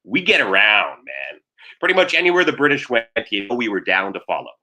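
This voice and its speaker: Animated, bright-voiced man with wry delivery. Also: on the low side, fairly quick. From Trinidadian. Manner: wry, irreverent. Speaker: playwright and performer, interview guest. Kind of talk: animated solo storytelling and casual chat.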